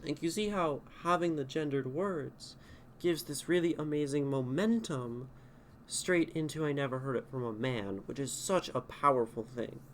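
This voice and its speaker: A man's voice: medium pitch, normal speed, slight background noise. Man thinking aloud over a poem. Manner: thinking aloud, reading lines back and reworking them. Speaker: streamer critiquing poetry. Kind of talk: casual spoken poetry critique. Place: home streaming setup.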